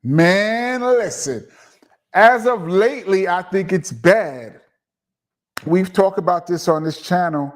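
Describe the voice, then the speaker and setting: A man's voice: sits high, lively intonation, slow. A middle-aged man from Brooklyn with a New York accent, casually hosting a live stream and answering viewer questions in a laid-back, chatty way. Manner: laid-back, chatty, laughs and says um often. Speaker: host of a live chat show.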